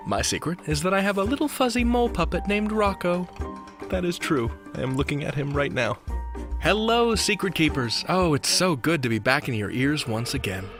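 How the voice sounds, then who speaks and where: Male podcast host for scripted intros. Upbeat, theatrical, highish and very animated, music underneath.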